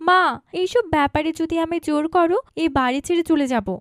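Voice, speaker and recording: A woman's voice: very high voice, brisk, fairly bright tone, no room sound, clean studio sound. A woman voicing a headstrong, argumentative eighteen-year-old daughter in a cartoon. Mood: indignant. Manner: argumentative, insistent. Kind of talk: cartoon dialogue acting.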